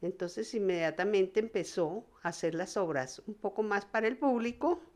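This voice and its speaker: Female interviewee, medium pitch, conversational storytelling with a halting, repetitive delivery. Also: lively intonation, darkish tone. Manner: halting, repeats words and restarts often. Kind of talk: conversational recollection of theatre history.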